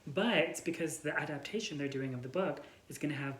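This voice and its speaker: A woman's voice: sits low, quick pace, crowd noise. A young woman chatting casually and informally about books, hesitating and leaning on filler words as she goes. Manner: informal, hesitant, heavy use of "like". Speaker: book reviewer co-hosting a book tag chat. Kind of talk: casual chat. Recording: roomy echo, some background noise.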